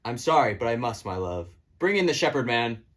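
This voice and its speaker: A man playing an impatient, commanding king in an amateur puppet show, barking orders with a rough voice. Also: medium pitch, big pitch swings, brisk, lightly acted. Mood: impatient, insistent. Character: commanding, impatient male king, demanding the truth.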